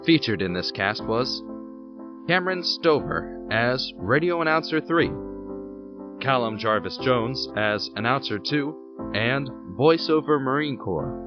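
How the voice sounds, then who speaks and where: A man's voice: medium pitch, lively intonation, unhurried, highs cut off, music in the background. A man reading scripted narration for the credits of an audio drama podcast.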